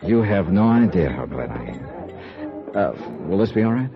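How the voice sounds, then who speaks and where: An actor playing a suave, wry, easily flustered investigator in 1950s radio noir style. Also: male voice, deep voice, very animated, normal speed. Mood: easy, charmed. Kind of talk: 1950s radio noir acting with first-person narration. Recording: dull vintage radio recording with music behind.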